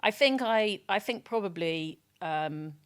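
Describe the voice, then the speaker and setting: A woman with a loud voice speaking frankly and emphatically as a brand strategy guest in a podcast interview, often restarting her sentences. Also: mid-range voice, expressive, unhurried, smooth. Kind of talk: candid podcast interview talk.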